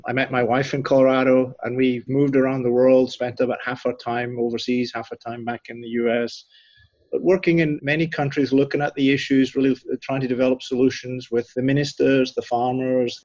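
A man's voice: medium pitch, a little mellow, dry recording, lo-fi sound. A middle-aged man from Scotland with a Scottish accent, a guest answering interview questions thoughtfully, often restarting and repeating words. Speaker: institute director, guest.

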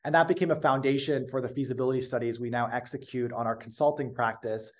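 An American man at a middling pitch, talking as a guest in an interview. He tells his story at a steady, fluent pace, with the occasional filler as he searches for a word. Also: fast. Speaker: guest, company founder. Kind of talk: casual interview talk.

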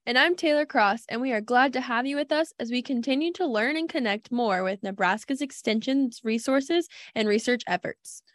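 A woman's voice: fairly high pitch, expressive, normal speed, hardly any pauses, no echo. A woman co-hosting a podcast, reading a polished intro and asking friendly questions. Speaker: podcast co-host.

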